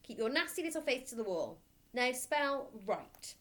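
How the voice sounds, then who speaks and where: A woman's voice: high voice, big pitch swings, moderate pace, fairly bright tone, voice pushed up. Woman acting a ferocious, barking bully of a headmistress. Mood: scornful, commanding. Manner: barking, bellowing, commanding, insulting. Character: giant, bullying, furious headmistress, ferocious and contemptuous.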